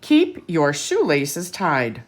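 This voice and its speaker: A woman voicing an earnest male police officer who announces safety rules deliberately in a children's storybook. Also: low-pitched, huge pitch swings, full character voice. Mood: earnest, instructive. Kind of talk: children's storybook character reading.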